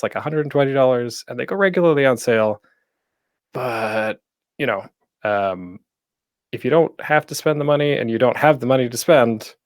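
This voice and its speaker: A man's voice: mid-range voice, far from the mic. A man giving a casual, informal presentation as a panel host, with rhetorical questions and offhand self-deprecating asides.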